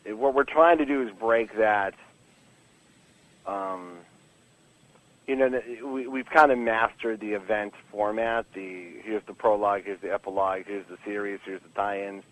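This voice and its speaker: Low-pitched man, rambling and informal. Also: steady tone, normal speed, poor audio. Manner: rambling, stop-start delivery with many false starts; informal, candid, joking. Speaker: comic book writer being interviewed. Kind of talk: casual podcast interview.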